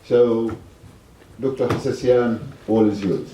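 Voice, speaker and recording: A man hosting a meeting, reading messages aloud in a halting, measured way. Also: medium pitch, fairly even intonation, mellow, a little hiss or hum. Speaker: event host. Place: meeting hall. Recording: echoey hall from far off.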